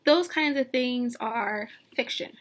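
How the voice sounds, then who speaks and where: A woman teacher reading a picture book aloud to young children, expressive and sing-song, pausing to put questions to them. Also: a little high. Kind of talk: read-aloud lesson with questions to young listeners.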